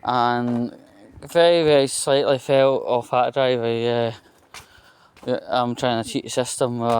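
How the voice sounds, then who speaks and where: A Scottish man rambling through a casual anecdote in a halting, opinionated and aggrieved way. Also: mid-range voice, a little mellow, smooth. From Scotland. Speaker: vlogger.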